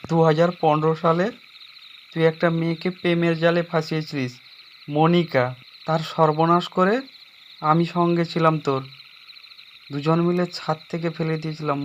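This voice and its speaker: A man acts as an anxious young man in a horror cartoon, his voice pitched a little high. He delivers his tale with drama and suspense, over faint noise of night insects and frogs. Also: natural voice. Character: young man haunted by his guilty past, anxious storyteller. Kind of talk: dramatized first-person horror story narration.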